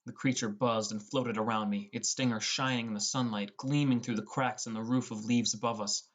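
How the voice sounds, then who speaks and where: Young male narrator, mid-range pitch, scripted first-person fantasy storytelling, audiobook reading. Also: steady tone, fairly quick.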